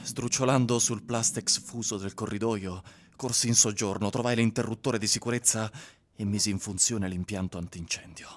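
An actor playing a haunted man recounting his ordeal in a measured, theatrical literary radio reading. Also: fairly low pitch, fairly even intonation, a little hiss or hum, lightly acted. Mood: grave, recounting.

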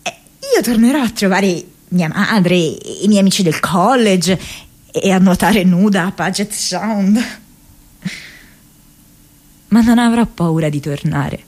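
An actress playing a restless, ironic young woman activist in a radio drama, reading her diary in an expressive, hesitant, conversational way. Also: lowish voice, breathy edge, heavily acted. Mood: defiant, wistful. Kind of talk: theatrical radio monologue, intimate diary reading.

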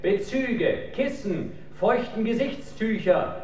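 An actor playing a solemn imperial court official in a radio drama, formally proclaiming ceremonies in measured phrases. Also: male voice, sits high, animated. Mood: solemn, ceremonial. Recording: roomy, distant, with loud music.

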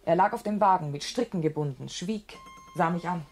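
A woman's voice: fairly low pitch, moderate pace, darkish tone, faint music behind. An actress playing a proud, disdainful young woman with a cool, forthright manner in a radio play. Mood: wistful recollection. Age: young adult. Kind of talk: German radio play acting.

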